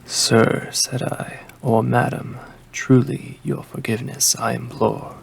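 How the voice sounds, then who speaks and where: A man reading a poem as an ASMR creator, with a deep, gravelly voice and a slow, hushed delivery that slips into whispers. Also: level tone, a little hiss or hum. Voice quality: deep, gravelly voice with heavy fry. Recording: faint rain ambience behind the voice. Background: rain ambience.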